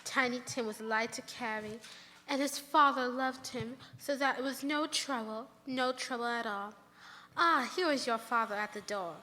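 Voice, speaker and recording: A woman's voice: fairly high pitch, moderate pace, pushed and bright. An actress playing a careworn, tender mother and wife in mourning, in earnest live radio theater. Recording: reverberant hall.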